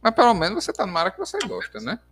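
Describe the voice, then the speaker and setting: A man's voice: high-pitched, huge pitch swings, rapid-fire, fairly bright tone, slightly rough. A man hosting a playful mock radio interview podcast, teasing his guest and tripping over his words. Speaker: host of a homemade radio-style interview podcast.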